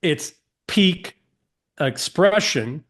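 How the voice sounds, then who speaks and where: A man's voice: on the high side, lively intonation, extremely slow, a bit bright. Emphatic man lecturing as a podcast guest. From United States. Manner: emphatic, asks rhetorical questions, restarts sentences.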